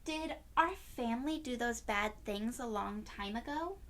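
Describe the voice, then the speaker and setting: A woman's voice: on the high side, expressive, unhurried, fairly bright tone. A woman voicing a curious, earnest young girl in a children's storybook, asking questions in simple, childlike phrases. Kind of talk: children's storybook read-aloud.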